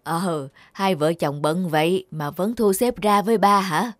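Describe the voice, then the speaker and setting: An actor playing an aging, lonely, selfless father in a cartoon, slow and soothing. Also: high voice, a bit bright, no room sound, studio quality. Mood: tender reassurance. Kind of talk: cartoon dub acting. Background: music.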